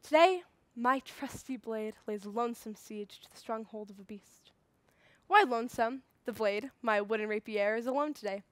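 A woman's voice: on the high side, normal speed, no echo, close to an everyday voice. A woman performing a stage monologue as an earnest farm child who dreams of knighthood, with valiant, grand, storybook delivery. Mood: solemn resolve.

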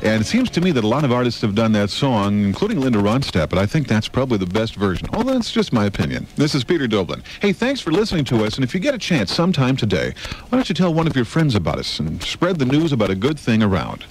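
A man's voice: medium pitch, huge pitch swings, quick pace, faint music behind, poor audio. A man working as a radio DJ with chatty on-air patter.

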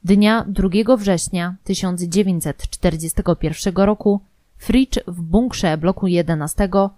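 A woman's voice: medium pitch, warm tone, no room sound. A woman narrating a scripted historical true-crime audio programme.

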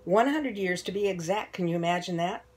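A woman voicing a very old, tall, wise tree in a children's story, speaking deliberately and emphatically in moral lessons. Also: lowish voice, darkish tone, husky. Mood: earnest, dismayed.

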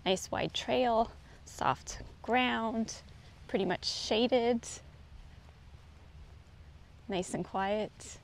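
A woman's voice: a little high, very slow, long pauses. A middle-aged woman vlogger casually narrating her walk along an outdoor forest trail, pointing things out as she goes. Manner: easygoing, descriptive, points things out as she walks. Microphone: handheld camera, often at a distance. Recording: faint outdoor noise.

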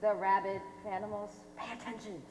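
A woman's voice: medium pitch, level tone, brisk, a little mellow, a little hiss or hum, natural voice. A teenage actress on stage playing a brash, pushy female pop star. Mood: pushy, offended. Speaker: actor in a high school play. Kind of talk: live amateur stage acting. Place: theater stage. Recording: echoey hall stage recording.

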